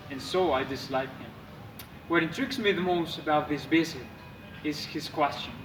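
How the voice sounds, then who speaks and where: A man acting as an earnest young French nobleman in exile. His voice sits fairly high for a male, and he recounts his life formally and deliberately, as in a staged classic novel. There is faint noise on the amateur recording. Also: close to an everyday voice. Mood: pensive, resentful. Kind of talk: scripted dramatic monologue of a classic novel.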